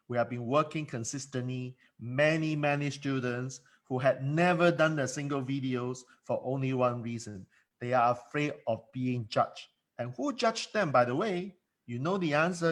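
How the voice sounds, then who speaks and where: A man coaching in a solo monologue, emphatic and given to repeating words, speaking English as a second language. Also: medium pitch. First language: not English. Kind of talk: motivational monologue.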